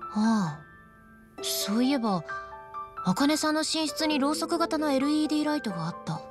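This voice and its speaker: An attentive, inquisitive young woman in a video game. Her voice is fairly high and varied, with clear music behind it. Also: big pitch swings, normal speed. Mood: curious. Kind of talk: scripted Japanese video game dub.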